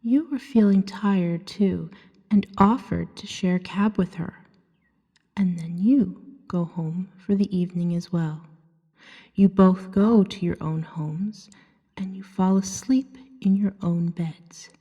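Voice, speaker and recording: A woman voicing a seductive, gently hypnotic female vampire in a soft ASMR roleplay, breathy and often near a whisper, coaxing and soothing as she tells a story to the listener. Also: fairly low pitch, highs cut off. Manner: slow, soothing, hypnotic second-person narration. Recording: long hall-like reverb.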